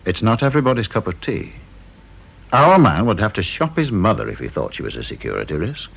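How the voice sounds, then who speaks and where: A man's voice: on the low side, sing-song, brisk, creaky, breathy edge, full character voice. A middle-aged man with an English accent playing a caustic, domineering board chairman in a British radio drama, sardonic and officious. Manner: sardonic, officious, drawling emphasis. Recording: dull, lo-fi radio recording.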